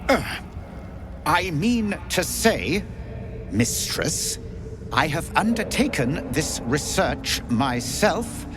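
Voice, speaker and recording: Pompous scheming wizard for a video game, theatrical and haughty, drawn-out delivery, music behind. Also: male voice, a little high, very animated, exaggerated voice. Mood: obsequious. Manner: theatrical, haughty, stammering when deferential. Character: pompous, grandiose drow wizard, scheming and obsequious.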